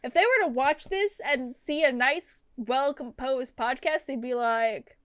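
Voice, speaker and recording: A woman's voice: high-pitched, a little slow, a bit bright, dry recording, studio quality. A woman in her late twenties co-hosting a podcast, rambling and trailing off in casual chat. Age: 20s. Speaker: podcast co-host.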